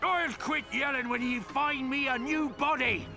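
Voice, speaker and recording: Gruff villain pirate captain, super gravelly growl, drawn-out pirate talk, bossy video game cartoon style, music under it. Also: male voice, very high-pitched, pushed and bright, exaggerated voice. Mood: cranky, bossy. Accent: stage pirate. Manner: theatrical, blustering old-time pirate speech.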